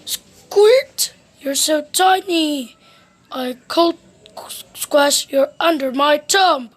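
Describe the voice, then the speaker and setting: A woman voicing a rude, scornful, loud bully man in a children's storybook read-aloud. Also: high voice, very slow.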